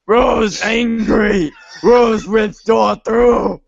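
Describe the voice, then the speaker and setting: A raging brute bellows in broken, boastful shouts for a storybook read-along. The delivery is extremely slow and gravelly, on a slightly dull recording. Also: female voice, on the high side, exaggerated voice. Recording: dull lo-fi audio.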